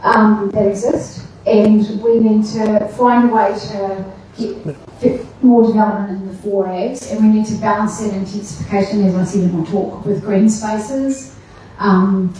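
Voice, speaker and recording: A woman answering as a candidate at a debate, halting and restarting as she works through her points. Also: mid-range voice, steady tone, warm tone, slight background noise. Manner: halting, with many fillers and restarts. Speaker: council candidate. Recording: echoey hall, distant mic.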